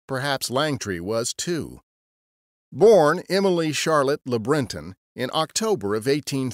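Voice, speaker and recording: Lively male storyteller. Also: mid-range voice, no room sound, studio quality. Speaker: podcast narrator. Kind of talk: scripted storytelling narration.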